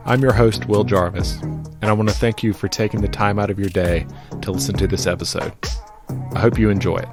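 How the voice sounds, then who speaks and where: Low-voiced podcast host man. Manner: rambling questions with fillers and restarts. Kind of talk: scripted intro then casual interview questions.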